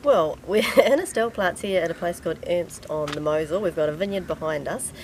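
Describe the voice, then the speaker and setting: A woman's voice: fairly low pitch, a little fast. A middle-aged woman with a New Zealand accent, chatting casually as a travel vlogger. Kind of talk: casual chat to camera. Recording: noisy outdoor and vehicle location sound.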